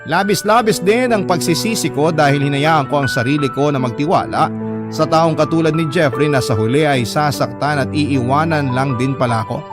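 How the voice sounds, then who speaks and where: A man's voice: a little high, moderate pace. A man with a Filipino accent narrating a personal story in a dramatic, scripted radio style. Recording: music bed behind the voice.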